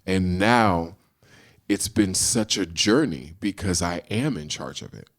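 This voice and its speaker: Low-voiced man telling lively stories. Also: slow. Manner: animated storyteller, acts out quoted dialogue. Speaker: guest, screenwriter and actor. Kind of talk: casual storytelling in a podcast interview.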